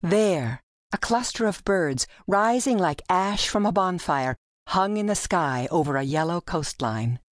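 A woman narrating a literary audiobook with measured, deliberate phrasing, recorded in a studio. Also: on the low side, animated, no echo. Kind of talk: scripted literary storytelling narration.

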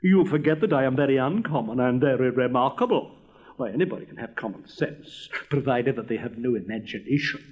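A man's voice: medium pitch, fairly quick, a little mellow, muffled recording, voice pushed down, lightly acted. A man voicing a vain, pompous, easily offended firework rocket in a storybook reading. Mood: indignant, lofty. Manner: pompous, severe, indignant.

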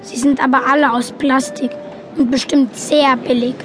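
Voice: sits high, little pitch movement, normal speed, fairly bright tone, faint music behind. An actor playing an earnest, quirky young boy who narrates his story matter-of-factly in a children's audio drama. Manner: matter-of-fact, childlike, explanatory. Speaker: narrator and main character.

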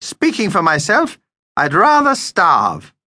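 A little storybook vampire, acted out by a man, grumpy and pompous, with a high, swooping voice. He declaims his grievances with theatrical self-pity, easily offended. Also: big pitch swings, moderate pace, sharp tone, no echo, studio quality, heavily acted. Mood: snappy disgust.

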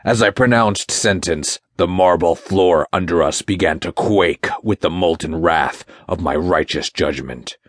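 A man's voice: deep voice, measured pace, hardly any pauses, no room sound, clean studio sound. A man voicing a pompous, menacing Satan, wrathful king of demons, in a dramatic first-person audiobook narration. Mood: wrathful, grandiose.

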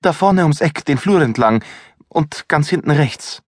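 A man voicing a frightened young female kitchen helper who stammers in excitement. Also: a little high, measured pace, dry recording, clean studio sound, voice pushed up. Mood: flustered.

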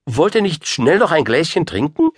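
A man voicing a gracious, excitable queen and mother in an audiobook, with an eager, fluttery manner. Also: fairly high pitch, normal speed, husky, no echo, studio quality, voice pushed up. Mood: alarmed, hospitable. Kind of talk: audiobook character voice.

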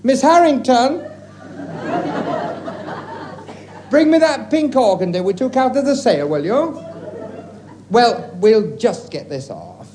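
A man's voice: extremely high pitch, sing-song, measured pace, darkish tone, full character voice. A man playing a fussy, falsely polite female dress shop assistant in a hesitant, deferential manner in a 1950s radio sketch. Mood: fussy, tactful. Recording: noisy vintage radio recording, audience.

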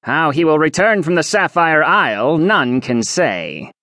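A man's voice: a little high, very animated, a little slow, sharp tone, no room sound, studio quality, voice pushed up, heavily acted. A man voicing a vain, spiteful old male clockwork sorcerer who hisses his threats imperiously. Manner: hissing, imperious.

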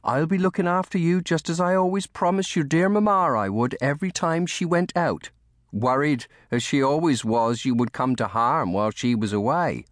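A man's voice: fairly high pitch, moderate pace, no echo, studio quality, voice pushed up, close to an everyday voice. A man voicing a devoted young maidservant in a storybook, fussing over her charge in a motherly, anxious way. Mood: gentle, reassuring. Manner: anxious, motherly scolding and soothing. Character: devoted, fussing young maidservant woman of humble cottage family.

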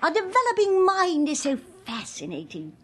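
An earnest upper-class English lady author in a radio comedy. Her voice sits high and sweeps up and down with effusive enthusiasm. She is intellectual, condescending and used to taking charge. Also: huge pitch swings, moderate pace, heavily acted. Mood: admiring, insistent. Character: earnest, gushing, domineering young upper-class lady novelist.

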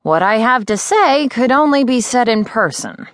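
A brisk, kindly older woman mentor of magic, as a woman narrator puts her on for an audiobook. Her voice is lifted well above the narration and sounds close and dry. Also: on the high side, big pitch swings, no echo, pitched above the performer's own voice. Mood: brisk fondness. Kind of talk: audiobook character dialogue.

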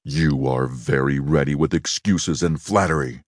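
A man reading a storybook aloud, voicing a furious male beast in a deep, rough, menacing voice. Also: measured pace, dry recording, clean studio sound. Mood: scornful.

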